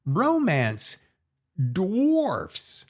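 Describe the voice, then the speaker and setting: Animated man hosting a bantering interview. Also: high voice, extremely slow. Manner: playful, effusive, informal. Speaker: podcast host interviewing an author. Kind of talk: casual, bantering interview chat.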